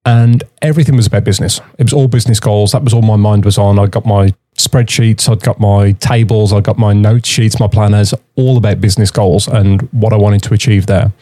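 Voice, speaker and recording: Mellow-voiced male podcast host, dry sound. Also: fairly low pitch, steady tone, fairly quick, few pauses, no echo. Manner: agreeable, uses tag questions, many fillers. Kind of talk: podcast conversation.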